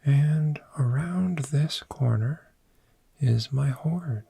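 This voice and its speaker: A man voicing a friendly, gentle dragon in a soft-spoken, soothing ASMR roleplay, with a breathy whisper and long pauses. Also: a little high, dry recording, exaggerated voice. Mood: cozy, welcoming. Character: friendly, gentle cave dragon fond of sleep.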